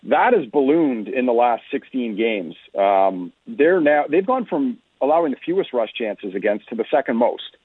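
A man's voice: medium pitch, lively intonation, normal speed, few pauses, husky, no room sound. A man giving conversational, explanatory sports analysis as an interview guest, often stressing his points. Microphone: remote phone-like connection. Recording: narrow phone-like line, muffled detail.

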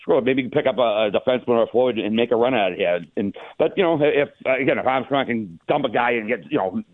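A man's voice: medium pitch, fast, no echo. A man hosting casual sports talk about hockey, rambling informally, heard over a tinny, narrow phone-like line.

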